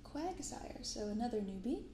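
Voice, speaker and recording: A young woman speaking softly in an ASMR style, unhurried as she comments and reads aloud. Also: on the high side, big pitch swings, roomy sound, noisy background. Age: young adult. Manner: unhurried, hushed commentary and reading from cards. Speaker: host of a card-opening channel. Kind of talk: soft-spoken ASMR. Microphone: binaural microphone.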